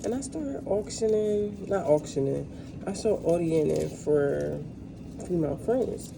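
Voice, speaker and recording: A woman's voice: lowish voice, lively intonation, unhurried, vocal fry. A woman from the United States vlogging in a casual, rambling storytelling way while she cooks, recorded without a dedicated microphone. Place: kitchen. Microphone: no dedicated microphone, built-in device mic. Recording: noisy kitchen room without a microphone.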